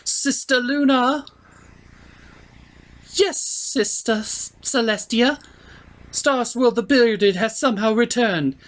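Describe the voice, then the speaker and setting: A man's voice: very high voice, takes it slowly, long pauses, fairly bright tone, voice pushed up, heavily acted. A man voicing anxious, melodramatic royal pony princesses in a puppet cartoon parody. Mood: panicked.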